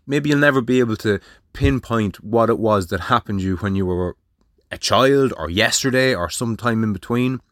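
A man's voice: lowish voice, normal speed, fairly bright tone, dry recording, clean studio sound. A man, talking alone on his podcast in a blunt way, swearing freely and firing rhetorical questions. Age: 30s. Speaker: podcast host. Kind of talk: solo monologue.

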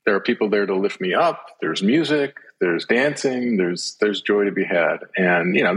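A middle-aged man as an interview guest, telling personal reflections in a thoughtful, halting way. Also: lowish voice, a bit bright, slightly rough.

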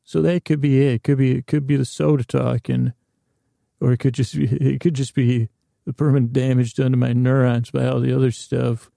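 A man hosting a bedtime podcast with a rambling, halting, goofy improvised monologue that trails off mid-sentence. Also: medium pitch, little pitch movement, vocal fry, dark tone, no echo, studio quality. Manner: goofy, whimsical. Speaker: podcast host.

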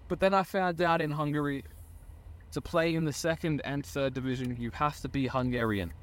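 A man's voice: fairly high pitch, fairly even intonation, normal speed. A young man of about twenty, a podcast guest earnestly telling his own story in a casual way and often correcting himself mid-sentence. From Australia. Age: around 20.

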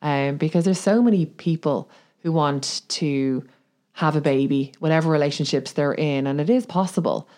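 A woman's voice: sits low, moderate pace, a little mellow. A woman co-hosting a podcast in casual conversation, informal and questioning in her way of talking. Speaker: podcast co-host.

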